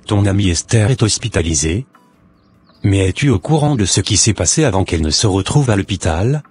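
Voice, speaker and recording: A man voicing a calm, reassuring believer. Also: on the low side, a little fast, husky, faint music behind. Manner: reassuring, sermon-like.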